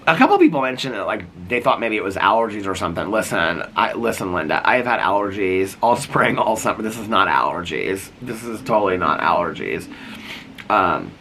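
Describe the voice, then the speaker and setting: Sick man rambling outdoors, bright voice. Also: fairly low pitch, sing-song, brisk, slight background noise. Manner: rambling, full of "like" and restarts. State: sick with a cough, voice going. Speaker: vlogger. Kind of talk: casual vlog chat. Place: sitting outdoors.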